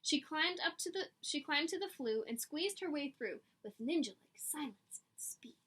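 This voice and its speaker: Lady reading a kids book out loud, very up and down, bright, chats to the kids like a teacher. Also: high-pitched, moderate pace. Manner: animated, dramatic emphasis, teacherly asides. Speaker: picture book reader for children. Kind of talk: expressive read-aloud storytelling with asides to children.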